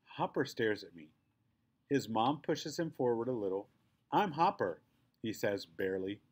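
Male storybook reader, expressive and animated, with lively, varied intonation. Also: on the low side, moderate pace. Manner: animated, acts out the dialogue. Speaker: reader reading a children's novel aloud. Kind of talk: expressive read-aloud storytelling.

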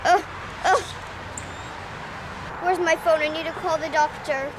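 A woman's voice: extremely high pitch, steady tone, measured pace, noisy background. A woman voicing a cheerful young man doll who rides a motorcycle, upbeat and whiny when hurt, in a toy play video.

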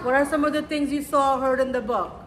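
A woman's voice: high voice, steady tone, fast. A woman reading aloud and teaching at children's storytime, speaking simply and encouragingly to young children. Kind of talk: read-aloud of a picture book for children. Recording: roomy echo with faint background noise.

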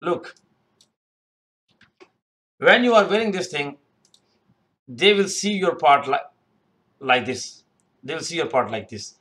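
A man's voice: on the high side, animated, slow, long pauses, a bit bright. A young man giving unscripted interview advice over a screen recording, rambling and repeating himself with many fillers, recorded on a webcam in a small room. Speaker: youtube career advice host. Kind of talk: unscripted screen-share tutorial. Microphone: webcam in corner of screen recording.

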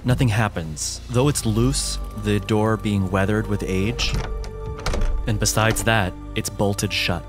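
A man narrating a tabletop roleplaying game as game master, in a measured, descriptive and dramatic storytelling manner. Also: lowish voice, steady tone. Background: music.

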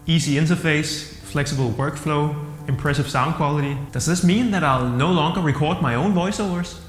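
A man in his thirties with a Danish accent reviewing software to camera in an upbeat, plain-spoken way. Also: fairly high pitch, moderate pace. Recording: roomy hall-like echo with soft music.

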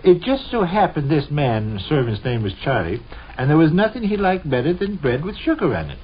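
An American man playing an easygoing, dryly amused middle-aged father in a folksy, unhurried 1940s radio comedy style. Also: on the high side, very animated. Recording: dull, noisy 1940s radio recording.

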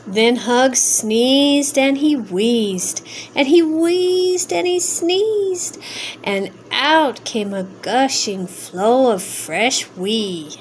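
Female storybook reader, high pitch, unhurried and sing-song, expressive rhymes for children. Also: slight background noise.

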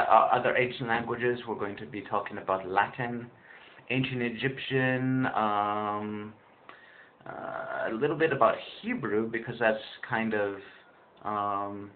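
A young man in his twenties talking to his webcam in a small room, rambling with lots of fillers and restarts. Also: lowish voice. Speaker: vlogger, English teacher in China. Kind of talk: casual webcam vlog. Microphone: webcam built-in mic, close. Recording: tinny lo-fi webcam audio with faint hiss.

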